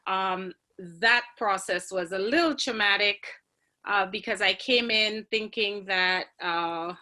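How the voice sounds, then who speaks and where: A woman in her forties speaks over a video call, her tone bright and keen. She tells her story as a panel graduate, earnest and reflective, with many ums between thoughts. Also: medium pitch.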